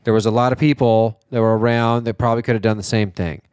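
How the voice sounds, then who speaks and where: A male podcast co-host talks in a loose, rambling way, full of fillers and casual swearing. His voice is smooth and clear. The sound is dry and close, with no room in it. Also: mid-range voice, steady tone, fast talker, a little mellow, no room sound. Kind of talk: casual chat.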